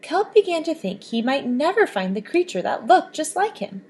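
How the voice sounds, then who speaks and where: A woman reading a children's picture book aloud with expressive, animated storytelling. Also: high voice, moderate pace. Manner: expressive, lively storybook voices.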